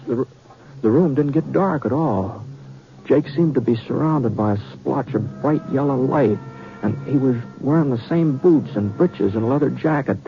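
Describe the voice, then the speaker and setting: A man's voice: mid-range voice, lightly acted. Man as a folksy western radio storyteller. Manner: folksy, unhurried, dramatic pauses. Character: folksy western yarn-spinner narrating a ghost tale. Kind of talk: old-time radio storytelling narration. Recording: dull vintage radio recording.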